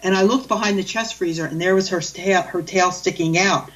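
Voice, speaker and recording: A woman's voice: on the low side, fairly quick, distant mic, poor audio. A woman, a guest animal communicator, telling stories in a rambling, chatty way with many restarts. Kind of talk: storytelling in a radio interview.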